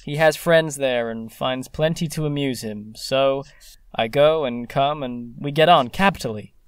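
An actor playing a courteous, worldly young gentleman in a period audio drama, polite and understated. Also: mid-range voice, measured pace, clean tone, dry recording, lightly acted. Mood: courteous, reserved.

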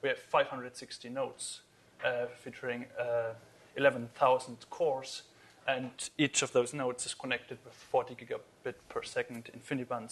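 A man's voice: medium pitch, fairly bright tone, husky. A young man in his twenties giving a technical conference talk in a lecture hall, explaining earnestly with many fillers and restarts. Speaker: conference speaker.

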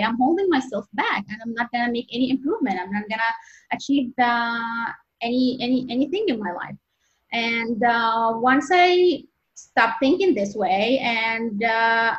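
Woman, Egyptian accent, 30s, slightly high voice, earnest, halting, dull lo-fi sound. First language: Arabic. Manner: earnest, halting with many restarts and fillers. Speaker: medical resident, guest. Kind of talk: interview answers. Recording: dull lo-fi audio.

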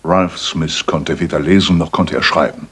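Deep, very raspy remorseful man. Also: fairly even intonation, heavily acted. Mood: subdued. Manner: halting, earnest. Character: remorseful lovelorn middle-aged father confessing his guilt. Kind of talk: radio play acting.

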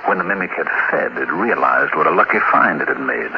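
A man in a 1950s radio play, playing a smug alien mimic posing as a spaceman, speaking in a measured, sinister storytelling manner. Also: a bass voice, lively intonation, gravelly, exaggerated voice. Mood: calm menace. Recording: noisy, muffled 1950s radio recording.

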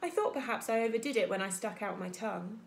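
A woman's voice: mid-range voice, lively intonation, quick pace, gravelly, roomy sound. A woman voicing a theatrical, boastful female opossum in a storybook, chatty and self-admiring. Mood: showy.

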